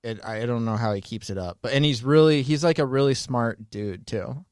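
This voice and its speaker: A man's voice: medium pitch, normal speed, smooth, no echo, clean studio sound. A man in casual podcast conversation, telling stories in a rambling, conversational way. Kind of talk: casual chat.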